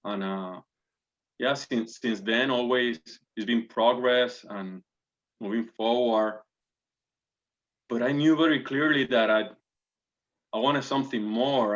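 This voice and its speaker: A man's voice: mid-range voice, measured pace, pauses often, a bit bright. Man, forties, Puerto Rican Spanish accent, earnest guest, lots of fillers, dull lo-fi sound. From Puerto Rico. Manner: earnest, polite, many fillers and restarts. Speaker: guest, navy officer. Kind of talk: interview answers. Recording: dull lo-fi audio.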